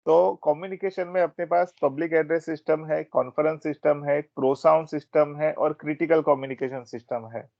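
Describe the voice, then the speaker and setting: Indian guy doing an informal training talk, hindi english mix, crackly fry in the voice, dry close sound. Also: fairly high pitch, fairly even intonation, fairly quick, hardly any pauses, no room sound. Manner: explanatory, switches between Hindi and English. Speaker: technical product trainer at a systems company. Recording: dull, band-limited close audio.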